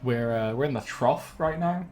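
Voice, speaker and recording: A young man gives relaxed gameplay commentary from his home setup, sounding a little sniffly and bunged up. He thinks aloud and corrects himself as he goes, his voice at an ordinary pitch, with faint game music under him. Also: moderate pace. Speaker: game streamer. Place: home room with webcam.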